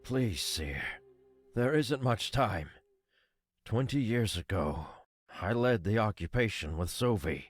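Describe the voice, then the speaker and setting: Casting: a man as a guilt-ridden furry ex-soldier, very slow and grave, expressive swings, visual novel drama. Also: lowish voice, dry recording. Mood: pleading. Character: remorseful, weary male furry ex-soldier, pleading earnestly.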